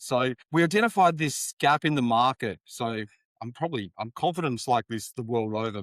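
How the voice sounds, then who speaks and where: This is an upbeat Australian man with a broad accent, speaking in a middle-pitched voice. He talks plainly and casually as an interview guest. The sound is close and dry, with no room echo. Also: a bit bright. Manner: upbeat, plainspoken, uses Aussie slang. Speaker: guest, sponsorship sales business owner.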